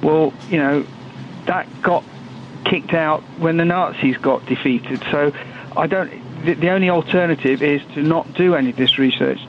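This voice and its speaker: An older man with a croaky voice calling in to a radio show, earnest and a bit hesitant. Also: fairly high pitch, normal speed, a little hiss or hum. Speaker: caller. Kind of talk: radio phone-in. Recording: tinny phone line.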